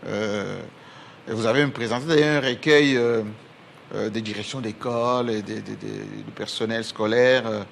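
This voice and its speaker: A man's voice: lowish voice, fairly even intonation, moderate pace, creaky, slight background noise. A man from Congo hosting a TV interview in a studio, talking hesitantly with many fillers and repeated words. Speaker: TV host.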